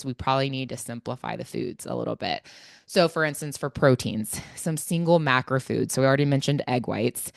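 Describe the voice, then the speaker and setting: A woman's voice: rumbling low, gravelly, no echo, studio quality. A woman hosting a casual solo podcast on nutrition, sounding stuffy and congested, chatty and informal with lots of fillers. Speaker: podcast host and fitness coach.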